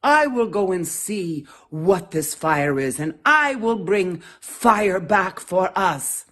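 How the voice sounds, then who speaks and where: A woman voicing a brave, earnest male antelope who makes loud, solemn pledges. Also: on the low side, big pitch swings, slow, heavily acted.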